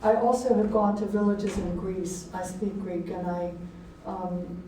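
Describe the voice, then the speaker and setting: An older woman, an author speaking to an audience in a halting, reflective manner. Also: medium pitch, flat delivery, dark tone. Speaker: author and poet giving a talk. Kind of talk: informal talk and poetry reading to an audience. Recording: very echoey room, distant mic, faint noise.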